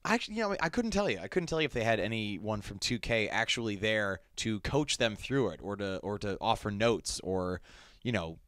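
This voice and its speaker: A man's voice: on the low side, expressive, normal speed, fairly bright tone, no echo, studio quality. A man chatting casually and self-deprecatingly as a voice actor reacting to his own video game scenes. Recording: noisy, game audio underneath. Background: video game cutscene audio.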